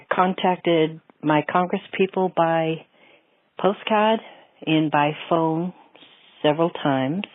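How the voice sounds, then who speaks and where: A woman's voice: low-pitched, dry recording. A woman from New Hampshire leaving a plain, matter-of-fact voicemail as a listener over the phone. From New Hampshire, United States. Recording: dry, tinny phone line.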